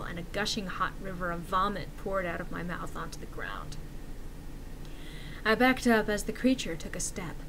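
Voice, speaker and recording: A woman voicing a frightened young man who recounts a horror encounter in a theatrical, deliberate dramatic reading. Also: mid-range voice, background noise, lightly acted.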